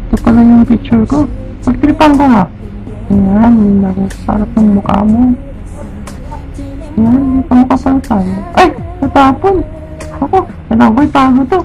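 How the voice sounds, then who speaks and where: Woman dubbing a high-voiced, bashful young Filipina. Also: normal speed, pauses often, warm tone, lightly acted. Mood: flustered. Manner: exclamatory, flustered. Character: bashful, polite, flustered young woman in love. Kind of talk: comic amateur dub of a love story. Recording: dull lo-fi sound with faint music.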